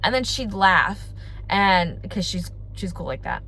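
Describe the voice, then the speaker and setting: A woman's voice: medium pitch, animated, measured pace, sharp tone, rough recording. A young woman with a congested voice, chatting casually and rambling to her vlog audience. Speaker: family vlogger. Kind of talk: casual chat to camera.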